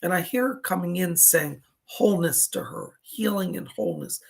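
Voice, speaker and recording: Gravelly, raspy man giving psychic readings. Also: high voice, lively intonation, normal speed. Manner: soothing, reassuring, chatty. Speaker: psychic medium. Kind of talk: live on-air psychic readings.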